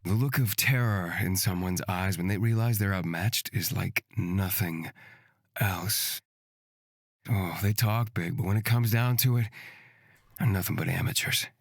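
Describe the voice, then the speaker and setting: A woman's voice: a little slow, no echo, studio quality, full character voice. A woman voicing a cocky, bloodthirsty male fighter in a fantasy visual novel, taunting in a drawl. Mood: smug. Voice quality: low, husky. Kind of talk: visual novel character reading.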